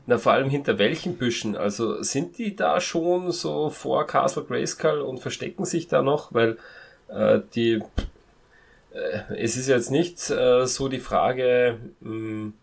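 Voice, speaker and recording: Creaky, husky man chatting. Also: medium pitch, unhurried. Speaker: podcast panelist. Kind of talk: casual, humorous review chat.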